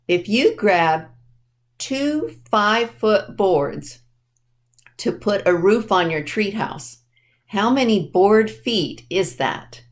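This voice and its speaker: A woman presenting a children's storytime lesson in simple words, spelling things out deliberately and checking understanding with questions. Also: mid-range voice.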